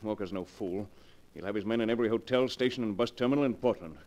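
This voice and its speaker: A man's voice: on the low side, quick pace, a little mellow, close to an everyday voice. An actor playing a domineering, frightened wealthy businessman in 1940s radio style, commanding and impatient.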